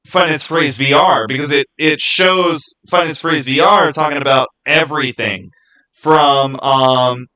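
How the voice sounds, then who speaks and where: A man's voice: a little high, pushed and bright, rough recording. A man hosting a casual livestream, rambling and thinking aloud as he answers viewers by name. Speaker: livestream host.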